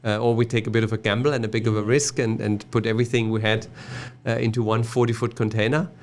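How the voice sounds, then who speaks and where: A man's voice: lowish voice, little pitch movement. A man in his fifties from Germany with a German accent, a podcast guest talking casually, often restarting his sentences. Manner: halting, frequent restarts and fillers. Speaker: podcast guest, bike shop owner. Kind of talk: casual interview chat.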